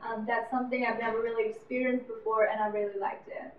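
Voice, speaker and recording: A woman's voice: a little high, little pitch movement, fast talker. A woman from China, answering interview questions earnestly as an international student. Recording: distant, echoey, dull with faint noise.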